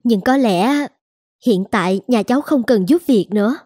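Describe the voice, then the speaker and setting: A woman playing a considerate but firm young mother in a cartoon, speaking gently and politely as she explains. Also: a little high, expressive, normal speed, slightly rough, no room sound, studio quality. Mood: apologetic. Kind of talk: cartoon voice acting.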